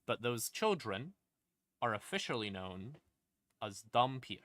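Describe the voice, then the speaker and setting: Man, bright forceful voice, medium pitch, casual streamer explainer, emphatic dramatic stresses, informal and sweary. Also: very animated, a little slow, no echo. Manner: informal, sweary, conversational asides. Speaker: streamer, host. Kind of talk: casual livestream explainer of game lore. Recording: music playing behind the voice. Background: creepy ambient music.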